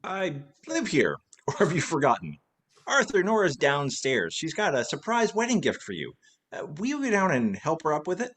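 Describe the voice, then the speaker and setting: A man's voice: fairly high pitch, wildly swooping pitch, moderate pace, a bit bright. A man playing a wry, wisecracking, tipsy former detective in a vintage-style radio play. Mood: wry, casual. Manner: wisecracking, teasing.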